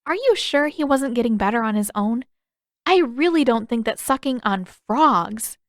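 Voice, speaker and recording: Woman voicing an exasperated teenage girl, high and emphatic. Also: expressive, moderate pace, dry recording, studio quality, lightly acted. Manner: indignant, questioning, emphatic. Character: teenage girl, caring but exasperated and bossy.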